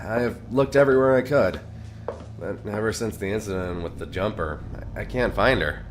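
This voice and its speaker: A man's voice: on the low side, normal speed, slight background noise, lightly acted. A man playing a nervous, deferential junior police officer in an audio drama, hesitant and stammering.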